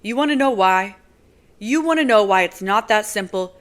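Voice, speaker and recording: A woman voicing a furious young man in an audiobook, loud and heated as he demands answers. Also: fairly low pitch, takes it slowly.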